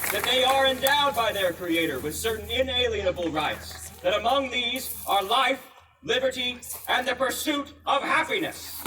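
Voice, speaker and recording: A man's voice: very high voice, distant mic, heavy background noise. A man playing a solemn, resolute colonial American statesman, reading a proclamation aloud as formal, stately public oratory with emphatic projection. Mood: fervent, proclaiming. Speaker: historical reenactor.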